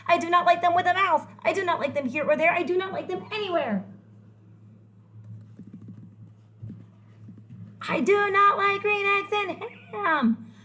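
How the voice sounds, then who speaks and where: A woman voicing a fussy, stubborn grouch in an animated, emphatic children's read-aloud. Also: very high voice, a little fast, slight background noise, pitched above the performer's own voice. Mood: frantic protest. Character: stubborn, fussy, exasperated grouch refusing food.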